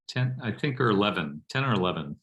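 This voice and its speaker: Guy actor on a panel, lowish voice, thoughtful and sincere. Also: animated, fast, fairly bright tone, poor audio. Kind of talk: reflective panel talk.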